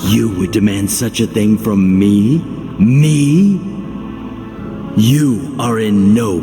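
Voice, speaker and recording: An actor playing a sinister godlike entity of despair, speaking in grandiose, mocking oratory in a video game. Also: male voice, lowish voice. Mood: menacing. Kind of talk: theatrical video game villain acting. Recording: loud music under the voice.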